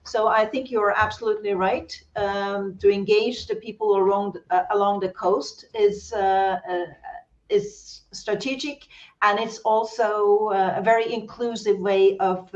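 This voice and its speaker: A woman's voice: medium pitch, fairly even intonation, a little slow. A woman from Norway moderating an online webinar, speaking with many fillers and restarts over a video call. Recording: dull lo-fi call audio.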